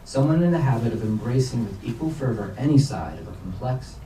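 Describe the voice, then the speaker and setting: Man, scripted prose reading, extremely dark mellow timbre, roomy sound. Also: mid-range voice, expressive, brisk. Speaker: reader at a staged literary reading. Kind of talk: literary reading aloud, scripted narration. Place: indoor bookstore reading event. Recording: roomy, distant mic, faint noise.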